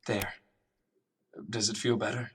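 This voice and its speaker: Man playing a low-voiced, earnest doctor, slightly distant. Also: little pitch movement, measured pace, fairly bright tone, slight background noise. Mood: gentle, awkward. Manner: hesitant, apologetic, reflective. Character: earnest, awkward male doctor gently questioning a withdrawn patient. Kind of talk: radio drama acting with inner monologue. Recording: distant mic, music underneath.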